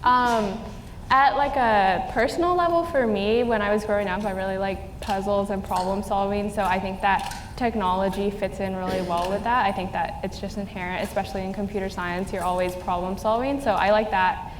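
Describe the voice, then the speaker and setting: A woman's voice: mid-range voice, steady tone, moderate pace. Young woman, from New Mexico, articulate, composed, roomy reverberant hall. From New Mexico, USA. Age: young adult. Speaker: student panelist. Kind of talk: panel answers. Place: event stage in a large hall. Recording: roomy hall with faint noise.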